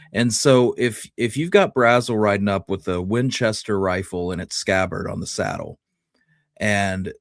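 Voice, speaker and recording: A man's voice: fairly low pitch, dry recording, studio quality. A man co-hosting a conversational history discussion, thinking aloud as he builds theories step by step. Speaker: podcast co-host.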